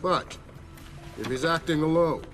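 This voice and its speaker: A man playing a senior intelligence chief in a video game cutscene, briefing in a measured, explanatory way. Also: fairly high pitch, fairly bright tone. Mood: measured, grave. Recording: dramatic music behind the voice.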